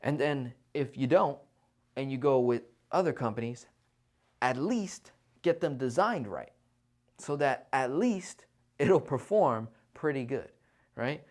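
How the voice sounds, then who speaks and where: A man with a medium-pitched voice hosts a casual online Q&A. He talks in a conversational, persuasive way. He often tags his points with short check-in questions to the listener. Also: animated, slow pace, a little mellow. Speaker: financial Q&A host. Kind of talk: casual livestream Q&A on finance.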